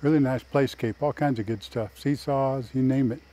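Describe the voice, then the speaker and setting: An older man with a mellow, warm voice at a middle pitch hosts a casual video tour. He speaks in a folksy, plain-spoken way as he guides viewers on foot outdoors, recorded on a lapel mic. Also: steady tone, moderate pace. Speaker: vlog host and tour guide. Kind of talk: casual walking tour narration to camera. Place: outdoors in a park.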